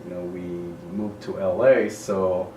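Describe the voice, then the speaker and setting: A man in his forties from El Salvador speaks in a low, measured way, trailing off softly as he finishes each thought. He sounds a little far from the mic, in an echoey room with a faint hiss behind him. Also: flat delivery. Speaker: high school principal. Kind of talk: casual interview.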